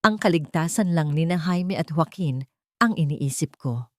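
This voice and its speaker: An audio drama doctor and aunt, acted out by a woman narrator, speaking. She is measured, doubtful and falsely gentle, insinuating under a show of concern. The sound is close and dry. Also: low voice, expressive, no room sound. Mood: feigned concern.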